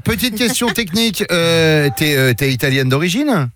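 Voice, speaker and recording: A slightly high-voiced man hosting a morning radio show from a studio microphone. He jokes and teases his callers in an upbeat way. Soft music plays faintly behind him. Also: animated, normal speed. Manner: joking, teasing, upbeat. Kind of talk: lively radio chat with callers.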